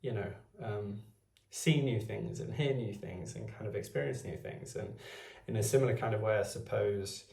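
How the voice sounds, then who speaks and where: Guy in his 20s, gravelly low voice, just rambling thoughts to his phone, roomy echo. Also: moderate pace, dark tone, distant mic. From Wales. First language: Welsh. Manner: meandering, thinking aloud. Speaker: vlogger. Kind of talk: unscripted musing to camera.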